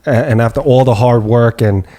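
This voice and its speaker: A man's voice: medium pitch, normal speed, dark tone. A man hosting a podcast, reflective and asking probing questions in casual conversation. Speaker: podcast host.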